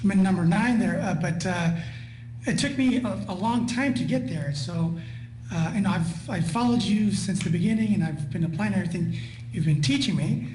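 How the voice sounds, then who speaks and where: A man's voice: high voice, normal speed, darkish tone. Hesitant man in an echoey room. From Guatemala. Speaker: webinar participant. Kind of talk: casual conversation on a live call. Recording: echoey room with faint hum.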